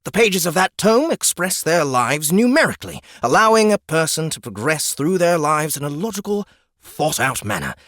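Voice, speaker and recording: Pompous lizard guide played by a man, condescending lecturer, very animated pitch, dry close sound. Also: moderate pace, no room sound. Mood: lecturing. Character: pompous, exasperated ninety-one-year-old male lizard guide, youthful-looking. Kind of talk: audiobook character acting.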